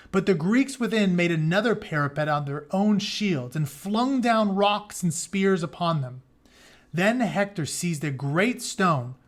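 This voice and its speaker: A man with a fairly high voice reads an epic tale aloud in the role of a teacher. He gives the written text a dramatic delivery, then shifts into plain, informal paraphrase to explain it. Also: a bit bright. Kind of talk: read-aloud of an epic retelling with casual asides.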